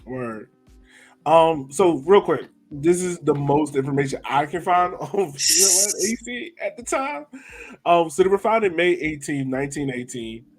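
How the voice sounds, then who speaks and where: A man's voice: fairly high pitch, animated, unhurried, faint music behind, low-quality recording. Easygoing male podcast host. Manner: easygoing, reads out facts with light laughs. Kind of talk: casual podcast chat.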